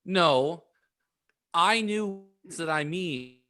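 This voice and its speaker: A man co-hosting a podcast with casual, jokey banter, halting and tripping over his words. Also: a little high, animated, pushed and bright. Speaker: podcast co-host. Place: remote video call.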